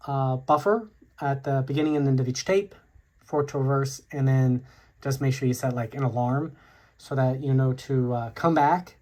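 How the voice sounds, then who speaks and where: A tech video host casually walking through a product tutorial in a hesitant, halting, informal way. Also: medium pitch, a little mellow.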